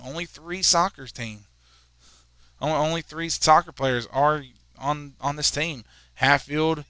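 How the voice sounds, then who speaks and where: A man in his twenties calling a high school soccer game, chatting in rambling asides between plays. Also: pushed and bright, no echo. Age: 20s. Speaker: play-by-play commentator.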